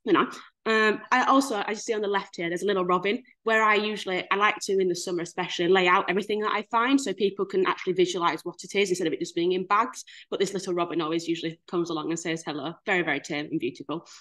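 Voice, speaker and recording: A woman's voice: fairly low pitch, fairly even intonation, quick pace, fairly bright tone. A young woman from Yorkshire, her accent plain, talks through a slideshow on a video call. She is casual and chatty, with a self-deprecating sense of humour. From Leeds, Yorkshire, England. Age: 20s. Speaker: guest speaker. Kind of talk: casual webinar presentation.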